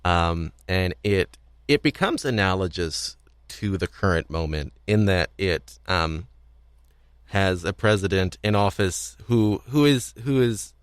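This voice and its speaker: A man hosting a podcast, talking casually about audio dramas in a halting, hedging way and often chuckling. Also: low voice, lively intonation, smooth, no echo, studio quality. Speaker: podcast host.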